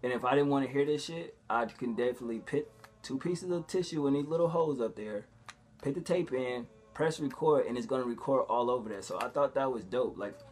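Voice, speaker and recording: A man with a mid-pitched voice talks casually to his vlog audience. His delivery is conversational and loose, peppered with slang and profanity. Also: a little fast, a little mellow, faint music behind. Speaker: vlogger. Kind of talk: casual vlog talk to viewers.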